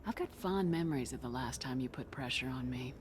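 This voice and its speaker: A woman playing a steady human military commander in a video game, speaking directly and with assurance. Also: a bass voice, brisk, noisy background. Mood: flirtatious.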